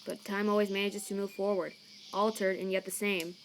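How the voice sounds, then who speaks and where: A woman's voice: medium pitch, level tone, a little fast, slight background noise, natural voice, poor audio. A woman voicing a laid-back male skeleton monster in a fan comic dub, casual and wry as he shrugs things off. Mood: brooding. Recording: chirping crickets and night ambience behind.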